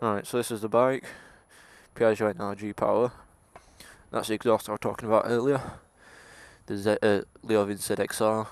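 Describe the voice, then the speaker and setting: A man's voice: fairly low pitch, level tone, moderate pace. A young man in his late teens from England with a broad Yorkshire accent, chatting casually as he vlogs from his scooter outdoors. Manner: chatty, laid-back, laughs now and then. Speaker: motovlogger. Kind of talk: casual vlog chat while riding. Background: faint engine and traffic noise.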